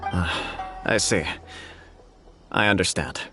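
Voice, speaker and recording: Formal, courteous clan official. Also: male voice, deep voice. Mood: curious, accepting. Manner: formal, polite, deliberate. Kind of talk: video game voice acting. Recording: game music behind the voice. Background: game music.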